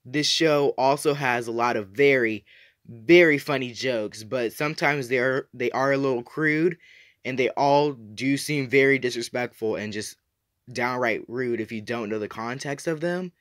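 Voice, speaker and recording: A man's voice: medium pitch, moderate pace, fairly bright tone. Man giving a casual, opinionated review. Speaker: YouTube reviewer, host. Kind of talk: casual review talk to viewers.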